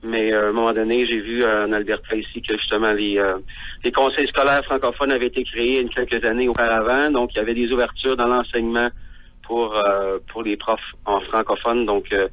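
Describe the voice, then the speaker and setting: A man's voice: medium pitch, level tone, a little fast, a bit bright, highs cut off. A man from Ontario, a guest on a radio phone interview who talks hesitantly, with frequent fillers.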